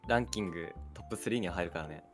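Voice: deep voice, rapid-fire. A radio co-host in casual conversation, listening attentively and responding with short interjections. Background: background music.

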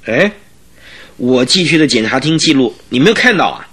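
Indignant police chief, radio drama: high, forceful voice, emphatic and stubborn, music underneath. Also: male voice, very animated, moderate pace, breathy edge. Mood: insistent. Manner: emphatic, exclamatory, argumentative. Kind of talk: Mandarin radio drama acting.

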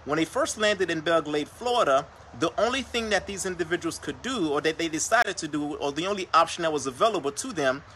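A man who grew up in Haiti and first spoke Creole hosts a motivational show. His voice is bright and forceful. He repeats himself and leans on little tags like "right?" A light hiss of background noise sits under him. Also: a little high, lively intonation, quick pace, few pauses. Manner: earnest, repeats phrases, says "per se" and "right?". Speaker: podcast host. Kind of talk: motivational livestream talk.

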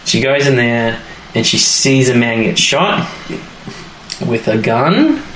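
A male podcast co-host retells a story in a loose, conversational way, pausing and restarting with small asides. His pitch sits in the middle. The room is echoey, with faint noise behind him. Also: big pitch swings, a bit bright. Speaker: podcast co-host recapping a TV episode. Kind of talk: casual chat, episode recap. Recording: roomy echo, faint background noise.

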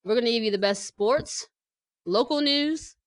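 A woman's voice: fairly high pitch, normal speed, no room sound, studio quality. An American woman from New Jersey co-hosting a casual, chatty podcast, speaking with emphatic repetition. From New Jersey, United States. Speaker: podcast co-host.

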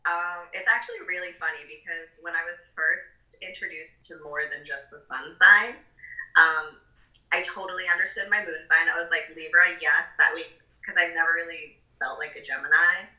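A woman's voice: fairly low pitch, steady tone, moderate pace, sharp tone, highs cut off. A young adult woman guesting on a podcast, talking casually with frequent ums and giggles. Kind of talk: casual interview chat. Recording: echoey room.

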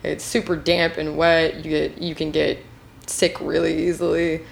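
A woman from Washington state with a low-pitched voice tells stories on a casual podcast. She talks in a chatty way, full of fillers, over a faint noise floor. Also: little pitch movement, normal speed, creaky. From Washington state, USA. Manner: chatty, filler-heavy storytelling. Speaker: podcast co-host. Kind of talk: casual chat.

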